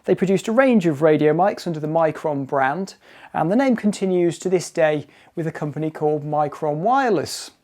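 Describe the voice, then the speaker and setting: A man's voice: a little high, animated, normal speed, darkish tone. A man presenting in an explanatory video about vintage equipment.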